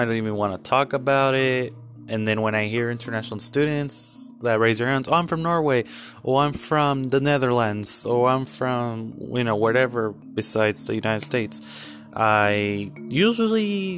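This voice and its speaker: A man's voice: mid-range voice, fairly bright tone. A man in his twenties talking candidly in a casual vlog, rambling with many fillers and restarts. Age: 20s. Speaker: vlogger.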